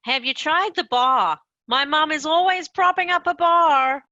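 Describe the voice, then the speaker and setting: A woman's voice: sits high, a little slow, pushed and bright, highs cut off. A woman playing a gushing, dim, flirty American trophy wife in a comedy radio play. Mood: tactless.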